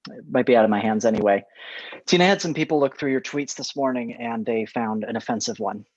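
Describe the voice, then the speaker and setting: A man's voice: mid-range voice, a little fast, lightly acted. Slightly muffled, man playing uneasy producer boss. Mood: blunt, weary. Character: Mike, uneasy, blunt forty-year-old TV producer boss. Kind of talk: comedy script table read.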